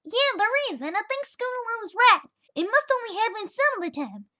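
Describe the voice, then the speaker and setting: A very high, bright child pony chats with confidence. The delivery is assertive and matter-of-fact, swinging up and down like a storybook character. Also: female voice, sing-song, brisk, full character voice. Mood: confident. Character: young filly pony, confident and chatty. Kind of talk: fan audiobook character acting.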